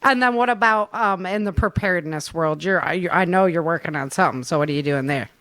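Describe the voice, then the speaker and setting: A woman's voice: lowish voice, brisk, fairly bright tone, no room sound. A woman hosting a casual chat, talking in an informal, slangy and effusive way. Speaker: host.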